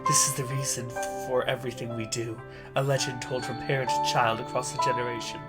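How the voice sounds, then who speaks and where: Man acting as dramatic game narrator, solemn, seductive, faux British, medium pitch. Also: flat delivery, fairly quick, over loud music, lightly acted. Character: solemn, dramatic storybook-style visual novel narrator.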